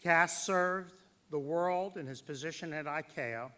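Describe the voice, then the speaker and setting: A man giving a formal tribute speech as master of ceremonies at a banquet, speaking in a deliberate, emphatic way with dramatic pauses. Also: fairly high pitch, bright, forceful tone.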